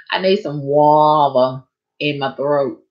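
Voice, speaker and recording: A woman chatting casually on a live stream to her viewers, rambling and a bit hoarse from talking so long. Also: low-pitched, wildly swooping pitch, drawn-out words, lo-fi sound.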